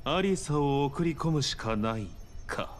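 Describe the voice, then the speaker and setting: An actor playing a serious, businesslike ally giving briefings in a game cutscene, precise and matter-of-fact. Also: male voice, a little high, expressive, a little slow, a little hiss or hum. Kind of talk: Japanese game cutscene acting.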